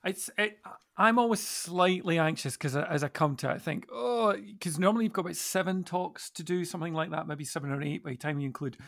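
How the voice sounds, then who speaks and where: A man's voice: a little high, brisk, creaky, a bit bright. A man speaking as a church minister on a podcast panel, reflecting casually and hesitantly as he thinks aloud.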